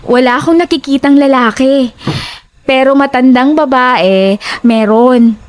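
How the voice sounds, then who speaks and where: A woman playing a bold, teasing young friend in a horror audio drama, brash and excitable. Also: high-pitched, measured pace.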